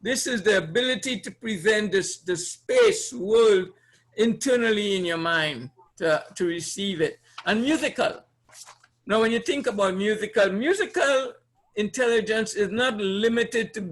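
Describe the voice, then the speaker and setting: A man's voice: high-pitched, unhurried, fairly bright tone. A man from Trinidad with a Trinidadian accent, presenting an informal seminar in a conversational way, repeating phrases and putting questions to his listeners. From Trinidad, Caribbean. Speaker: presenter, trainer. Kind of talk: informal seminar teaching to a live audience.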